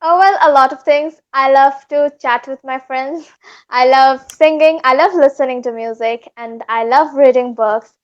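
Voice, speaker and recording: A woman's voice: high-pitched, steady tone, unhurried, dull audio. A teenage girl from India, speaking politely and cheerfully as she introduces a video and chats with a tutor. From West Bengal, India. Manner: polite and upbeat, light laughs. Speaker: vlogger and student. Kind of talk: vlog intro and casual chat.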